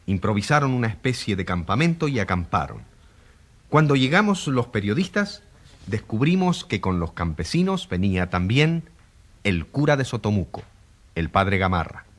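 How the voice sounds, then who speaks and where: A man playing a grave radio reporter in a drama, narrating with a husky voice and declamatory, rhetorical delivery. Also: fairly low pitch, sing-song, normal speed. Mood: grave, dramatic. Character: grave male radio reporter covering a controversial trial.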